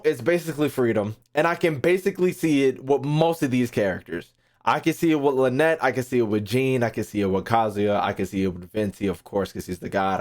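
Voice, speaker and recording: A man giving casual, slangy gaming commentary as a streamer, swearing freely and repeating phrases as he thinks aloud. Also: medium pitch. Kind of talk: casual reaction commentary to a live chat.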